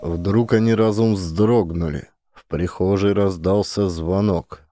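A man's voice: sits low, steady tone, takes it slowly, very noisy. A man reading a satirical story as a scripted audiobook narration.

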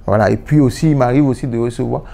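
A man's voice: mid-range voice, fast, vocal fry, mellow. A man in his thirties whose first language is Yoruba, giving reflective interview answers indoors, often restarting and repeating words. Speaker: musician. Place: indoor room, seated on a sofa.

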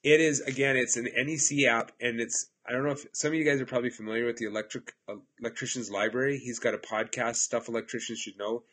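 A man's voice: mid-range voice, fairly even intonation, fast talker, hardly any pauses, bright, forceful tone. A man in his forties with a stuffed-up cold voice, enthusiastically explaining apps for electricians in a casual webcam talk from his home office. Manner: upbeat, enthusiastic, chatty. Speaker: electrical instructor. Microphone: laptop webcam at desk.

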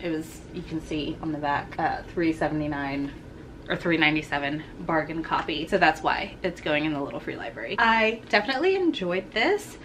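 A woman's voice: deep voice, animated, brisk, fairly bright tone, slight background noise. A young woman chatting frankly about books she is giving away, a little congested with a slightly sore throat, recorded in a home room. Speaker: book vlogger. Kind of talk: casual book unhaul chat.

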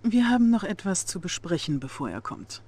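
A woman's voice: lowish voice, very animated, a little fast, a little mellow, husky. Game cutscene casting: young female Soviet intelligence officer, cool and merciless, with tightly controlled military authority. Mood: cool, polite. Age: young adult. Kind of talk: dramatic military briefing.

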